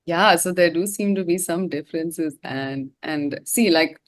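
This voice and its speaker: A woman's voice: on the low side, a little slow. A woman being interviewed as a singer, talking casually in a slangy, rambling way. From India. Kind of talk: casual chat.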